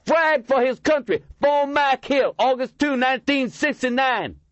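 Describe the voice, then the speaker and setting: A man's voice: a little slow, dry recording, highs cut off. Audiobook figure: a furious, grieving homeless man, raised and strained, narrator puts him on. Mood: angry. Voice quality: shouting, high and strained. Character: tall skinny angry homeless man.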